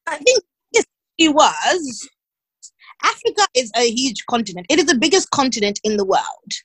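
A woman's voice: high voice, very animated, normal speed, a bit bright, husky, no room sound, rough recording. A woman explaining her view in a matter-of-fact way during a casual chat with friends.

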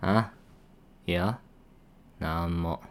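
A man playing a deep-voiced teasing coworker. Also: extremely slow, clean tone. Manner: teasing, casual, drops into hushed asides. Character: teasing male senior coworker, playful, secretly fond. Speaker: voice actor. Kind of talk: situation voice drama.

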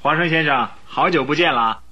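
An actor playing a friendly police detective in a radio drama, with a hearty, familiar way of talking. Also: male voice, a little high, fairly quick, background noise, lightly acted. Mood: hearty, inquiring. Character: friendly male police detective, doctor's acquaintance. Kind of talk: Mandarin radio drama acting.

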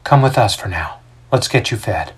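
Guy reading as a kind concerned woman in an audio story, gentle, slightly husky. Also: fairly low pitch, animated, normal speed. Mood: compassionate. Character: caring, concerned woman among travelling companions.

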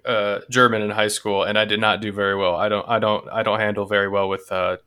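A man hosts a casual podcast chat with a bright, forward voice. He keeps the guest going with eager, encouraging responses. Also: lowish voice, level tone, quick pace, clear, resonant tone. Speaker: podcast host.

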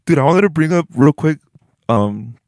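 A man's voice: a little high, lively intonation, normal speed, a little mellow, no room sound, studio quality. A young man co-hosting a casual podcast, laid-back and slangy, laughing often. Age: young adult. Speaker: podcast co-host. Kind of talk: casual chat. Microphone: headphones and studio mic.

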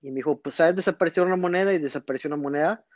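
A man's voice: on the high side, rapid-fire, no echo, highs cut off. A man, a guest telling stories at length in a casual podcast interview, full of fillers. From born in Texas, raised in northern Mexico. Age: 30s. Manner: rambling, storytelling, frequent fillers. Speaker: guest magician.

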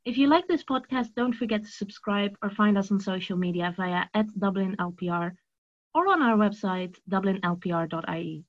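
A woman's voice: mid-range voice, fairly quick, highs cut off. A woman hosting a podcast, introducing and putting questions to a guest in a conversational interview style.

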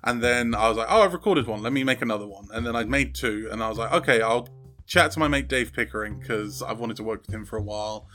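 A man talking casually as a podcast guest, halting and repeating words as he thinks. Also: mid-range voice, fairly bright tone, faint music behind. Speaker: podcast guest, audio fiction creator. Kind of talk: casual chat.

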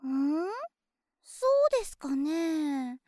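A woman's voice: high voice, sing-song, very slow, warm tone, dry recording, clean studio sound, full character voice. An actress playing a sweet, girlish young nurse in an anime-style voice drama, cutesy, polite, lovestruck and clingy. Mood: playful, mildly defensive. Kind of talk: Japanese anime-style situation voice drama acting.

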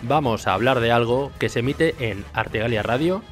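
A man's voice: mid-range voice, moderate pace. A man with an accent from Spain, giving a friendly, announcer-style recorded greeting as a radio presenter. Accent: Spanish from Spain. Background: music.

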